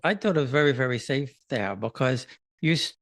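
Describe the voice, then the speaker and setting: A man hosting an interview, speaking in a relaxed, hesitant way and often restarting and repeating words. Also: medium pitch, brisk, no room sound, studio quality. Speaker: interview host. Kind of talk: casual interview chat.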